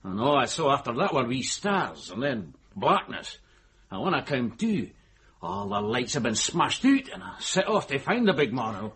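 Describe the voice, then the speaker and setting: A male club comedian in a radio drama. He is blunt, foul-mouthed and irreverent, spinning out slangy stories with a very lively, swinging pitch. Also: normal speed, breathy edge, full character voice. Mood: grim, vivid.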